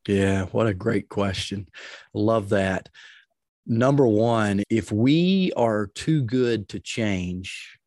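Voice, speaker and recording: A middle-aged man with a deep, loud voice, giving leadership advice as a podcast guest in an energetic, emphatic way that repeats phrases for stress. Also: slow pace, no echo, studio quality. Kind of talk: explanatory interview talk with advice and personal stories.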